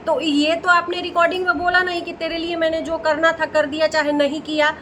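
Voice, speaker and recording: High-pitched woman giving reaction commentary. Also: little pitch movement, brisk, fairly bright tone, slight background noise. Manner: repeats phrases for emphasis. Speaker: reaction channel host. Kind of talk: casual opinionated reaction commentary.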